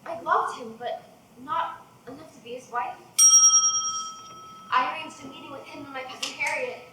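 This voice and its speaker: A woman's voice: sits high, normal speed, natural voice. A woman playing a spirited, defiant young artists' model in a stage drama, declamatory and emphatic. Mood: wistful. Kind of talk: theatrical stage acting. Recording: echoey room, distant mic, faint noise.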